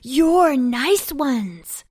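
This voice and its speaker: Woman as indignant, high-voiced panting cabbage. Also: expressive, very slow, darkish tone, full character voice. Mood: breathless indignation. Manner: complaining, panting. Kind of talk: children's storybook character voice.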